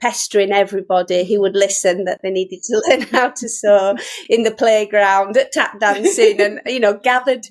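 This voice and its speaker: A woman's voice: mid-range voice, fairly even intonation, normal speed. A middle-aged woman from Yorkshire with a Yorkshire accent, telling her story in a casual, chatty, rambling way as an interview guest. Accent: Yorkshire English. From Yorkshire, England. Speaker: guest, sewing teacher.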